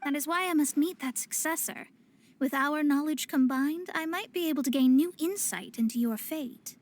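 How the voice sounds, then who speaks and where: A woman's voice: high-pitched, a bit bright. An actress playing a proud young female astrologist in a video game, speaking in lofty, formal, theatrical phrases. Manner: formal, archaic, lofty diction. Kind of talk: anime-style video game voice acting.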